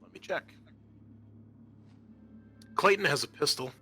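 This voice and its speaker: Bright-voiced man running a tabletop game. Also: a little high, lively intonation, slow pace, soft background music. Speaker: host and game master. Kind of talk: narrating a tabletop roleplaying game, unscripted.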